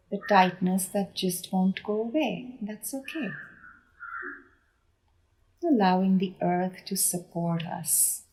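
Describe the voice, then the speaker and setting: A woman leading a guided meditation in a gentle, unhurried manner with deliberate pauses between phrases. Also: lowish voice, poor audio. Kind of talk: guided body scan meditation.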